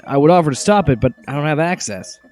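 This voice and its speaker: An actor playing a sarcastic, flustered young government agent in an audio drama. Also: male voice, on the high side, lively intonation, rapid-fire, darkish tone, long reverb tail.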